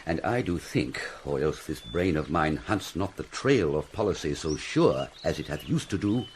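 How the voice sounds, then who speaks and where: An actor playing a pompous, long-winded, fussy older royal counsellor in a classical Shakespearean drama. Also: male voice, a bass voice, big pitch swings, moderate pace, a little mellow, slight background noise. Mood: proud, self-satisfied. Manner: pompous, self-satisfied, wordy.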